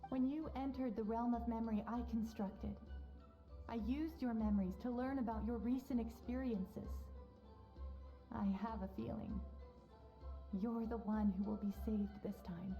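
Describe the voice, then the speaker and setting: A woman playing a serene, wise mentor in a video game, speaking in a measured, solemn and gentle way. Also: on the high side. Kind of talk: video game character acting. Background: music.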